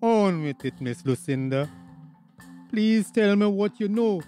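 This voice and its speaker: An actor playing a stern, easily exasperated village police officer in a radio drama, formal and officious, with a Tobagonian accent. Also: male voice, high-pitched, animated, unhurried, mellow, faint music behind.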